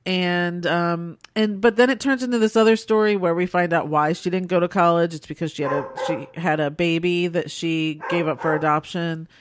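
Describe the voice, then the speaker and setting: A woman's voice: on the low side, fairly even intonation, normal speed, hardly any pauses. A sick woman with a nasal, congested voice, co-hosting a casual podcast and rambling chattily through movie reviews. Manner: chatty, rambling, laughs often. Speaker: podcast co-host.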